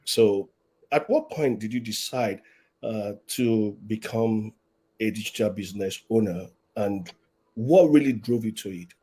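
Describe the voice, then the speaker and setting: A man's voice: on the low side, a little slow, dark tone, slightly rough. A man hosting an online live-stream interview, repeating words and reading out audience comments. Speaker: host.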